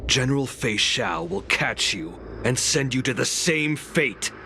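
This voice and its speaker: Slow, stern, defiant young warrior. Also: male voice, medium pitch, expressive, slight background noise. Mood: stern defiance. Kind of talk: video game cutscene acting. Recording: very loud game music behind the voice. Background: game soundtrack music.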